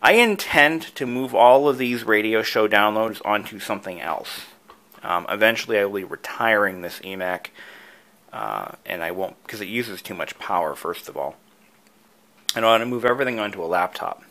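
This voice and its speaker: A man's voice: fairly low pitch, moderate pace, bright, forceful tone. A man presenting a hobby tutorial, casually talking through each step with enthusiasm.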